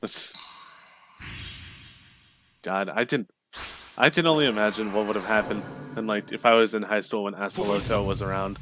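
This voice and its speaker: Young man chatting over prominent music. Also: fairly low pitch. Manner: halting, swears freely. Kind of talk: casual voice-chat banter on a game stream. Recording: music behind the voice.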